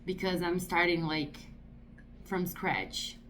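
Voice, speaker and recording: Brazilian woman in her thirties, lower-pitched, vlogging slowly in English, thoughtful and searching for words. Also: fairly even intonation, a bit bright, slight background noise. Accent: Brazilian Portuguese. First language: Portuguese. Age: 30s. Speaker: artist vlogger. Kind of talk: casual talk to camera.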